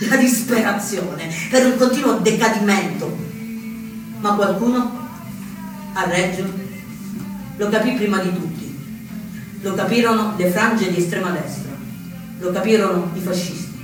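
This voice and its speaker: An actor playing a wry male anarchist recounting a revolt in a measured, dramatic theatre monologue with deliberate pauses. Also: sits high, over music, natural voice. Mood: impassioned, bitter. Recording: very echoey stage, distant mic, noisy.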